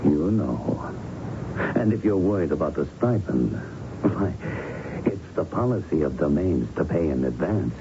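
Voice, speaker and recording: An actor in a radio drama playing a slick underworld fixer, slangy, insistent and persuasive. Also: male voice, animated, a little slow, heavily acted. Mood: smooth, insistent. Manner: smooth-talking, slangy, insistent, unflappable. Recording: muffled radio recording, faint rumbling noise.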